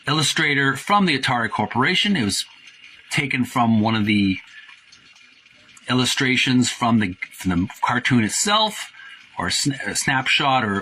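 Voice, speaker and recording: A man's voice: mid-range voice, pushed and bright, a little hiss or hum, low-quality recording. A middle-aged man hosting a casual video, describing box art in a rambling, hesitant way with many fillers and restarts, and calling his viewers folks. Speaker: host.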